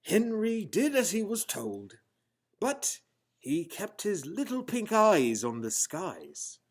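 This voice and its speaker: Male storybook narrator, theatrical, expressive, unhurried, very lively intonation. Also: high-pitched. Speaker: storybook reader. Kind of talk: dramatic children's storybook narration.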